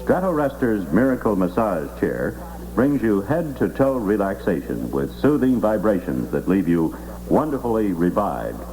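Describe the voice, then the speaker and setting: A man's voice: on the low side, sing-song, moderate pace, darkish tone, rough recording. A man reading news and ads in a formal announcer style, on a vintage 1960s radio recording with hum. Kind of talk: scripted 1960s radio newscast and live ad reading. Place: radio studio.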